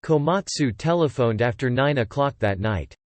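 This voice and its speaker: A lively, varied mid-pitched male voice, recorded close and dry. He reads scripted fiction as an audiobook narrator. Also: moderate pace, no room sound.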